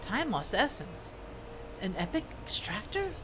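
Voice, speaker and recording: A woman game streamer casually commenting on her play and reading quest text aloud, musing and halting as if to herself. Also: medium pitch, sing-song, loud music behind the voice. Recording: clear game ambience and noise. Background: video game ambience.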